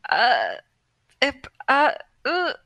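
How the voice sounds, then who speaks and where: A woman's voice: sits high, wildly swooping pitch, very slow, creaky, pushed and bright, dry recording. A woman co-hosting a podcast in casual, conversational chat. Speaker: podcast co-host.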